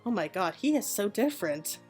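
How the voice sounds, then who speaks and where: A woman's voice: mid-range voice, very animated, a little fast, darkish tone, breathy edge. A woman giving casual commentary while playing through a game. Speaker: gaming streamer reading game dialogue aloud. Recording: music behind the voice. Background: game music.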